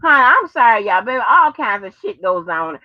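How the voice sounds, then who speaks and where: Animated high-pitched American woman. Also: no room sound. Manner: animated, profane, drawn-out exclamations, folksy terms of address. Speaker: host. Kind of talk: casual rambling chat to viewers and on the phone. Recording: dull lo-fi sound.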